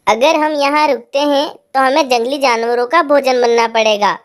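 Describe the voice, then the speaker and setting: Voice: sits high, fairly even intonation, normal speed, clear, resonant tone, close to an everyday voice. A performer voicing a young male calf in a cartoon fable, plaintive and emphatic. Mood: grave, urging. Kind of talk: cartoon animal fable dialogue.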